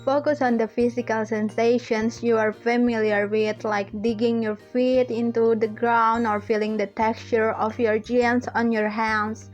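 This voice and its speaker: A young woman with an Indonesian accent giving a measured, rehearsed student presentation on a lo-fi recording. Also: fairly high pitch, flat delivery, faint music behind. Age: young adult. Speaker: student panelist. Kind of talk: prepared presentation. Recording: dull lo-fi audio.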